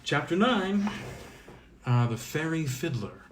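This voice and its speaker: A man's voice: a little high, very animated, takes it slowly, echoey room, far from the mic, slight background noise. A man speaking as an informal storyteller, reading and introducing old tales.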